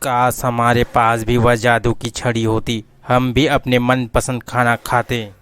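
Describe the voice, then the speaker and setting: A man's voice: medium pitch, monotone, unhurried, fairly bright tone, clean tone, natural voice. A man voicing a scheming talking dog in a cartoon story, speaking in a plain, bossy way.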